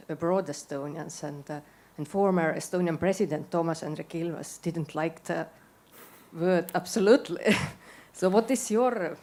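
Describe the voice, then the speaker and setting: A woman's voice: sits low, darkish tone. A woman in the audience asking a question, hesitant and searching for words.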